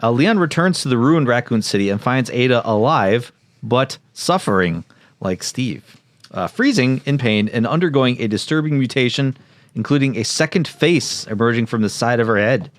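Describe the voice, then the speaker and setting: A man with a medium-pitched voice, recorded dry with no room sound. He co-hosts a comedy podcast, reading a recap aloud and reacting to it in a wry, mock-serious way. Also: animated, moderate pace, no echo. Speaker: podcast co-host. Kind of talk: casual comedic recap, part read aloud.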